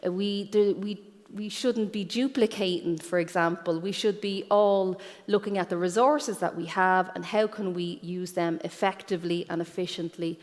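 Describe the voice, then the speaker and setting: An Irish woman giving an explanatory conference presentation as a guest speaker, pausing often with ums. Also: lowish voice, fairly even intonation, a little mellow. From Ireland. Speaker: guest speaker, careers guidance professional. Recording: echoey hall with long reverb.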